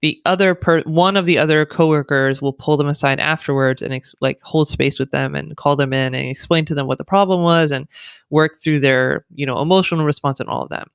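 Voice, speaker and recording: A woman's voice: low voice, fairly even intonation, a little fast, gravelly, smooth, dry recording, studio quality. An American woman, a diversity consultant, speaking as a guest in an interview with thoughtful, explanatory runs and fillers. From United States. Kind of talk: conversational interview.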